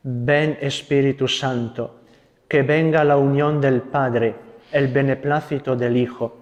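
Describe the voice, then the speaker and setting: A young man from Italy, a Carmelite friar with an Italian accent, giving a gentle spiritual talk and leading prayers. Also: medium pitch, steady tone, in a large hall. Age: young adult. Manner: gentle, unhurried, adds tag questions.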